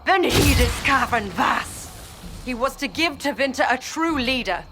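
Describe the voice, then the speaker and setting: An actress playing a proud, idealistic mage lieutenant in a video game cutscene, speaking formally and grandly. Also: female voice, lowish voice, measured pace, music in the background, lightly acted. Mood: bitter dismay.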